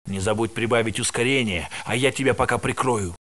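An actor voicing a tired male security guard in a research facility in a video game dub, with a gravelly, matter-of-fact delivery. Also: mid-range voice, a little fast, a bit bright, close to an everyday voice. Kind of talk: game voice lines, Russian dub.

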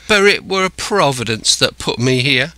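A man's voice: mid-range voice, lively intonation, normal speed, pushed and bright, no echo, voice pushed up, low-quality recording. A man voicing a marooned, half-crazed old seafarer in a storybook, sly and childish, with rambling, wheedling rustic sailor speech. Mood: rambling, pious.

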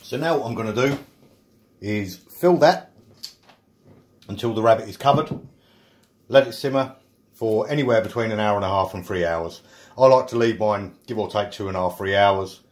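A man's voice: lowish voice, normal speed, long pauses. A man chatting casually through a home cooking video, explaining each step with self-mocking asides. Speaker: home cook. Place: small home kitchen.

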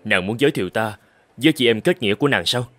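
A man playing a young suitor in an old-time animated fairy tale. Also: on the low side, quick pace, faint music behind. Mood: doubtful.

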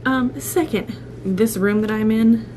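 A woman's voice: a little high, measured pace, a little mellow. A woman in her thirties chatting informally and self-deprecatingly to her camera in a small bedroom, as a vlogger. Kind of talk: casual vlog chat to camera. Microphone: camera at arm's length or set nearby. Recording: noisy camera audio with room hum.

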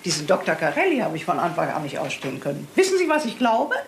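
Gossipy, animated older spinster aunt. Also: female voice, on the low side, quick pace, a little hiss or hum. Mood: confiding. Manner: rambling, effusive, gossipy. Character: chatty, fussy English spinster aunt, older, eager. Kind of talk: German crime radio play acting.

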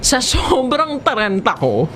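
Animated Filipino woman telling stories slowly. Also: a little high, background noise. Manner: expressive, giggly, conversational. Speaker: storyteller reading a comedic story. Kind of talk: comedic first-person story narration in Tagalog.

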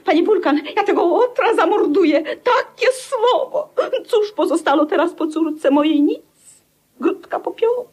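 A woman's voice: very high voice, expressive, a little slow, darkish tone. A woman playing a histrionic middle-aged widowed mother, melodramatic and declaiming, in a grotesque 1950s radio play. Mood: outraged, wailing. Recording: 1957 radio play recording.

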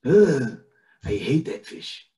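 A man's voice: medium pitch, big pitch swings, takes it slowly, mellow. A man voicing a scheming, smug elderly tycoon in an oily, pompous, theatrical way, in an amateur cartoon table read. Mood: scheming, grumbling. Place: indoors at home on a video call.

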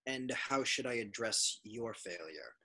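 A husky, menacing warlord, performed by a man at a table read. He speaks slowly and with cold authority, as a masked ruler who expects to be obeyed. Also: medium pitch, expressive, pushed and bright, heavily acted. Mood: cold menace. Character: menacing masked male dark-side warlord, cold and imperious. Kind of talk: fan table read of a film script.

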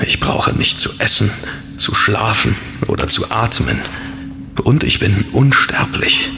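Audio drama fallen angel: lowish, creaky, world-weary, confiding and sombre. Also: male voice, a little slow. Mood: weary, resigned. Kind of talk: horror audio drama acting.

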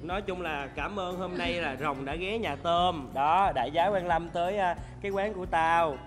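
A man's voice: high-pitched, moderate pace. A man in his twenties with a Southern Vietnamese accent, hosting a talk show in casual, teasing chat. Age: 20s. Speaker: talk show host playing a shop owner. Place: large indoor studio set of an old shop. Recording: music behind the talk.

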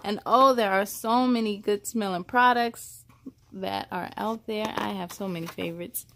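A woman's voice: medium pitch, moderate pace. A woman reading a children's picture book aloud, with encouraging, affirming casual comments addressed straight to young listeners.

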